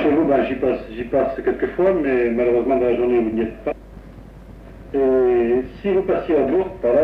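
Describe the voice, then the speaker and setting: A man, a radio amateur, chatting on air about his equipment with many pauses and hesitations. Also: medium pitch, slight background noise. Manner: rambling, technical, many hesitations. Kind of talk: casual on-air radio chat. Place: home radio station. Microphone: crystal broadcast-style microphone about a metre away. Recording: roomy, distant, dull 1960s AM radio recording.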